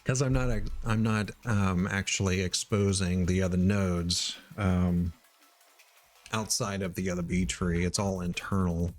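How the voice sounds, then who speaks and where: A middle-aged man from Missouri thinking aloud while he writes code, halting with many ums and uhs. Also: low-pitched, fairly even intonation. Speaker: live-coding streamer.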